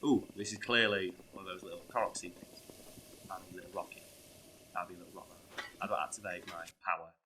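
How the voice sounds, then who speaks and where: A hoarse, ill man swearing freely as he plays a video game and tells a story. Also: low-pitched, steady tone, fast talker, a little hiss or hum, poor audio. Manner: swears freely, bursts into loud outbursts. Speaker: co-host playing a video game. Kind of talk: casual gaming chat with storytelling.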